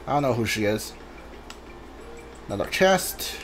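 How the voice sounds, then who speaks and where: A man's voice: mid-range voice, sing-song, a little slow, slight background noise. A man giving casual video game playthrough commentary, mumbling his thoughts aloud as he plays. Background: game music.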